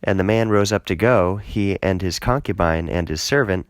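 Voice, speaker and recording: Deep-voiced man reading scripture, dry recording. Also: moderate pace, no echo. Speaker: audiobook scripture reader. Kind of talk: scripted narration, Bible reading.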